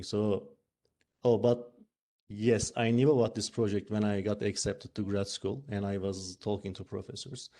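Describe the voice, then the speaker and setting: A man from Turkey, a doctoral student, answering audience questions in a halting, laughing way. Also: fairly low pitch, warm tone. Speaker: PhD student speaker.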